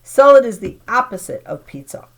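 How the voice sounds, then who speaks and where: A woman reading aloud as a sharp-tongued, fed-up mother who drinks, lines delivered loud and emphatic. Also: a little high, sing-song, moderate pace, pitched above the performer's own voice, poor audio. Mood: teasing.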